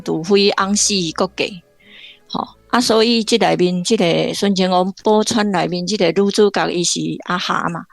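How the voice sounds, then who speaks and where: A woman's voice: lowish voice, vocal fry. A woman with a Taiwanese accent giving informal literary commentary as a guest professor, explaining point by point.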